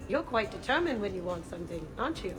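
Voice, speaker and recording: A woman plays a sly, self-assured seductress in a video game. Her mid-range voice teases and hints as she talks. Music is clearly heard behind her. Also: mid-range voice, sing-song, quick pace, lightly acted. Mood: flirtatious. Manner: teasing, suggestive.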